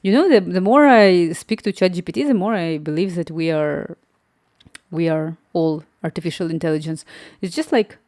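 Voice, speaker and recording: Woman, Slavic accent, low voice, warm mellow tone, dry sound, chatty live streamer who laughs a lot. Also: normal speed, no echo. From Ukraine. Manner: chatty, laughs often, restarts words while reading viewer comments aloud. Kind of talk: casual live-stream chat.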